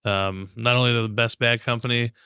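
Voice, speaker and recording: A man's voice: mid-range voice, steady tone, quick pace, pushed and bright, smooth, no echo, clean studio sound. A man co-hosting a podcast, chatting casually about sports in a slangy, informal way. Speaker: podcast co-host.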